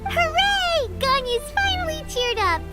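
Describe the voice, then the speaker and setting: Tiny squeaky game fairy: very high, swooping, childlike, unhurried, over clear music. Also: female voice, very animated, heavily acted. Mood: gleeful. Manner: exclaims, speaks of self in third person. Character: tiny childlike floating fairy companion, excitable and always hungry. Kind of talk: anime game voice acting.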